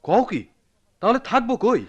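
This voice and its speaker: An actor playing a weary, bitter middle-aged village elder with a rural Bangladeshi accent in a radio drama, sounding wounded and resigned. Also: male voice, very high voice, big pitch swings, a little slow, slightly rough, lo-fi sound, heavily acted. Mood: surprised.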